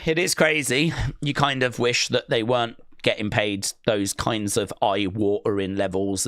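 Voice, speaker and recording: A man's voice: lowish voice, a bit bright, no echo, studio quality. A man hosting a live stream, chatting casually and reading out viewer comments, with many fillers and restarts. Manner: rambling, lots of fillers and restarts. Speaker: streamer hosting a wrestling watch-along.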